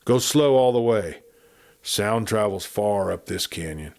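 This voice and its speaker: A man's voice: fairly low pitch, animated, unhurried, vocal fry, darkish tone. A man reading as a cocky young outlaw. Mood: low, urgent.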